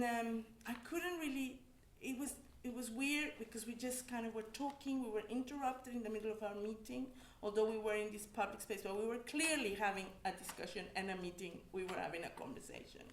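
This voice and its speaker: A woman's voice: fairly high pitch, a little hiss or hum. Reflective Mexican woman, echoey room. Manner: reflective, halting, many restarts. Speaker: academic panellist. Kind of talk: personal testimony on a panel.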